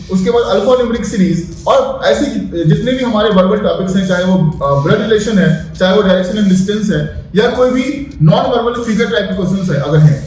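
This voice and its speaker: A man's voice: high-pitched, darkish tone. Guy teaching exam prep step by step, really echoey and far from the mic, keeps checking you follow. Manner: methodical, often checks with tag words like "right" and "okay". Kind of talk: explanatory lesson. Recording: very echoey room, distant mic, music behind.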